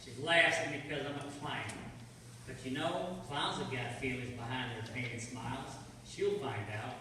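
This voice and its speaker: A man's voice: mid-range voice, moderate pace, close to an everyday voice. A man playing a lovesick, bitter circus clown, sulky and theatrical, in a radio play. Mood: bitter brooding. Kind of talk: stage radio-play acting. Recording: echoey hall from far off, noisy.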